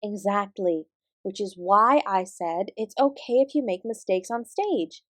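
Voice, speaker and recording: A woman's voice: mid-range voice, measured pace, no echo, close to an everyday voice. A woman acting in a roleplay audio drama as an apsara who works as an instructive, composed dance teacher. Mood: reassuring. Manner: encouraging, instructive, addressing a class. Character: female apsara dance teacher, warm, encouraging, defensively composed.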